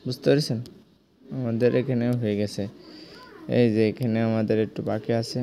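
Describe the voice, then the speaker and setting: A man's voice: medium pitch, fairly even intonation, moderate pace, mellow, a little hiss or hum. A man who teaches cartoon animation talks viewers through a drawing step by step, repeating himself and checking that they follow. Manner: repetitive, coaxing, addresses viewers directly. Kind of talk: screen-recorded drawing lesson.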